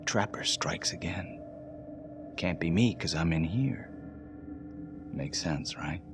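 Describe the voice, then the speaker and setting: An actor playing a desperate, volatile condemned prisoner in a cinematic game, with an intense delivery. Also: male voice, low voice, animated, slow pace, background noise, heavily acted. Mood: bitter, confessing. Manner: intense, swings from hushed to shouting. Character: desperate condemned man, pleading, haunted, volatile. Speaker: death-row prisoner. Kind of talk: cinematic video game acting. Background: music.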